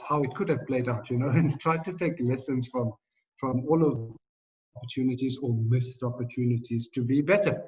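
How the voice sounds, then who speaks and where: A man from South Africa giving thoughtful, unhurried interview answers with many fillers and light laughs. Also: mid-range voice, a little mellow. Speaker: interview guest, financial coach. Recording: distant, dull lo-fi audio.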